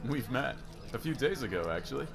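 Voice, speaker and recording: A man's voice: mid-range voice, big pitch swings, brisk, creaky, music in the background. A man playing a friendly new salesman in an audio roleplay, speaking in a casual, easygoing way.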